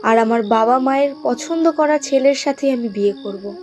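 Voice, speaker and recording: A woman's voice: high voice, normal speed, darkish tone, soft background music, lightly acted. A woman voicing a betrayed, proud young village bride in a horror cartoon, tearful and reproachful. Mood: hurt reproach. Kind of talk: Bengali horror cartoon dialogue.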